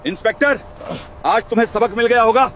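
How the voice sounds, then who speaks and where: Stern army officer, very high male voice acted. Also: a little fast, fairly bright tone, slight background noise. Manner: authoritative, indignant. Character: commanding male army officer, stern protector of his men. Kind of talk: scripted animated moral story.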